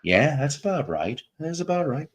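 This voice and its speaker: A man hosting a casual chat show, telling a long story in a relaxing voice, rambling with pauses and restarts. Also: a little high, sing-song. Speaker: host.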